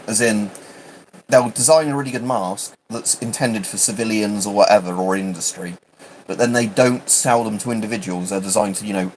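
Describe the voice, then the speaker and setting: Low-voiced rambling British man, noisy recording. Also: a little mellow. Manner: rambling, self-interrupting, hesitant. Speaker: livestream host. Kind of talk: rambling casual livestream chat.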